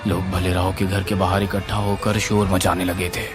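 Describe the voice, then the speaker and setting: A man narrating a scripted horror story in a suspenseful, dramatic, expressive way. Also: low-pitched, moderate pace. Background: music.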